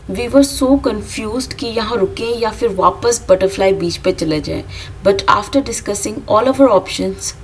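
A woman's voice: medium pitch, far from the mic, a little hiss or hum, low-quality recording. A woman with an Indian accent giving travel advice in a vlog voice-over, mixing Hindi and English. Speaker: vlogger narrating.

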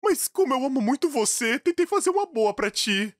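Brazilian guy doing a high-voiced lovey call center worker, begging and dramatic, cartoon style. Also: sing-song, fairly quick, a bit bright, no room sound, exaggerated voice. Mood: pleading, sugary. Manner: pleading, melodramatic. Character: apologetic, gushingly affectionate call-centre worker.

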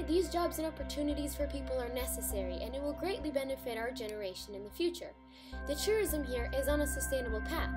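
Female student narrator, teens, fairly high voice, scripted read under prominent music.

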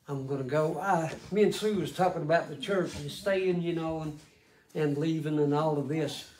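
An older man leading songs at a small church, speaking in a slow, folksy and earnest way. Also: fairly high pitch, darkish tone. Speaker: song leader. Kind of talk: church announcements and song leading. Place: small wood-paneled church sanctuary. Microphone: stand mic at pulpit, heard from far camera. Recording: echoey room from far off, background noise.

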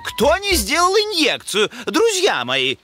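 Theatrical moose scientist for animation: grand, enthusiastic, extremely varied intonation, music behind. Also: male voice, very high-pitched, huge pitch swings, a little slow, sharp tone, dry recording, full character voice. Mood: grandiose. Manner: pompous, theatrical.

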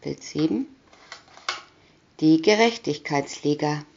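A woman's voice: sits low, lively intonation, slow, creaky, darkish tone, lo-fi sound, slight background noise. A woman doing a scripted reading of a children's picture booklet, announcing short captions one by one. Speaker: reader.

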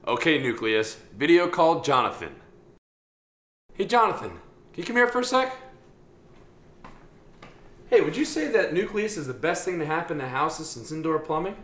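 A man's voice: fairly high pitch, big pitch swings, normal speed, pauses often, fairly bright tone. A man in his thirties doing an upbeat, scripted comedic product presentation in a salesman's delivery. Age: 30s.

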